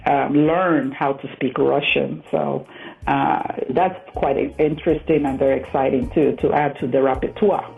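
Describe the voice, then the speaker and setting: Woman from Nigeria, low voice, chatty radio guest, tinny phone line, faint music behind. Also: steady tone, moderate pace, creaky. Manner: chatty, laughs often. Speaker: guest, international matchmaker. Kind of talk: radio interview.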